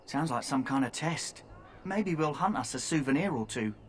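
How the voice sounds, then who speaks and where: A man's voice: mid-range voice, expressive, normal speed, a little hiss or hum, close to an everyday voice. A man voicing a cheeky young thief recruit in video game dialogue, with a teasing manner. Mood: conspiratorial.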